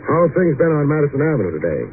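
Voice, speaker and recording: An actor playing a wry, deadpan private detective, narrating and talking in an old-time radio noir style. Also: male voice, a little high, very fast, a little hiss or hum. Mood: bantering. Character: wry, hard-boiled male private detective narrating his case. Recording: tinny old radio recording.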